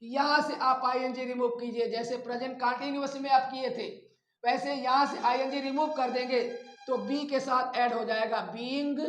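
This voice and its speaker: Male grammar teacher, Indian accent, notably high pitch, very unhurried and instructional, dictates examples word by word. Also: fairly bright tone. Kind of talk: step-by-step grammar lesson. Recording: echoey room.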